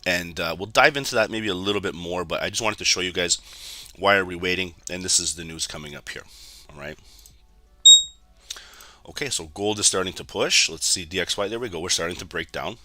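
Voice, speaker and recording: A man from Canada with a raspy, hoarse voice, giving casual live commentary on a trading stream and talking straight to his viewers. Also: deep voice, a little fast, bright, forceful tone, faint music behind. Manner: chatty, keeps addressing viewers as guys.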